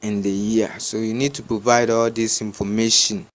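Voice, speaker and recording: A man with a Ghanaian accent gives unscripted step-by-step instructions in a halting, repetitive way, as a tutorial host. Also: on the low side, flat delivery.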